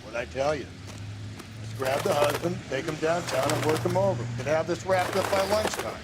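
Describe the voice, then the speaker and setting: A man's voice: on the high side, moderate pace, noisy background, natural voice. Brash, cynical American detective, acted by a man. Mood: eager, smug. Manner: brash, sardonic, slangy. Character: cynical, crude middle-aged male police detective, thrice divorced. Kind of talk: video game acting, 1940s detective drama.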